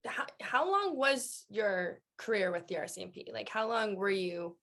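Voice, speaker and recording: A woman's voice: medium pitch, big pitch swings, moderate pace, a bit bright. Female interview host, mid-range voice, attentive and encouraging, conversational delivery.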